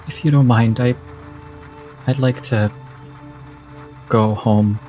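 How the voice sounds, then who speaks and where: A man voicing a shaken, meek, polite woman in a tabletop game, speaking haltingly. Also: mid-range voice, steady tone, warm tone, soft background music, close to an everyday voice. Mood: shaken, hesitant. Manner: hesitant, halting. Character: shaken, meek woman who lives alone, polite and compliant.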